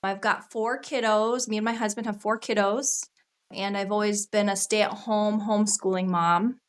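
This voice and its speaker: A woman's voice: mid-range voice, steady tone, moderate pace, clean tone. A woman from Minnesota with an American accent, talking casually as a podcast guest. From Minnesota, United States. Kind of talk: casual conversational interview.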